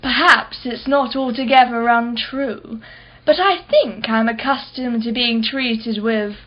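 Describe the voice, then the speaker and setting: A woman's voice: a little high, slow pace, dull audio. An actress playing a wealthy, condescending and sardonic female art collector in a radio play. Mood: affronted. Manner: condescending, mocking, self-satisfied.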